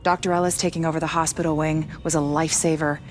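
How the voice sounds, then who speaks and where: An actress as a driven, impatient woman researcher in a game cutscene. Her voice sits a little low, and she talks bluntly with a sarcastic edge. Faint noise lies behind her. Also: level tone, fast, a little mellow, close to an everyday voice. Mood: dry, confiding. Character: brusque, sardonic woman doctor, driven virus researcher. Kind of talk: video game cutscene acting.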